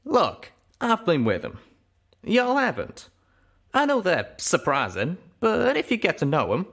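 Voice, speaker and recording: Guy putting on a folksy pegasus pony, pitched up, drawly n defensive, swoops around a lot. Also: wildly swooping pitch, a little slow, vocal fry, a bit bright, exaggerated voice. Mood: exasperated, defensive. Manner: folksy, emphatic.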